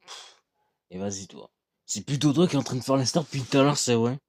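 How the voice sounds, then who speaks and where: A man's voice: mid-range voice, brisk. A man hosting a reaction video, chatting casually, lecturing his viewers and leaning on fillers.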